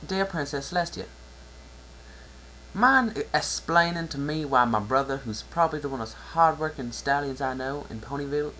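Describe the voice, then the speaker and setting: Female farm pony, voiced by a man: indignant, plain-spoken, raised pitch, story reading with faint background noise. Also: pushed and bright, natural voice, low-quality recording. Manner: indignant, blunt.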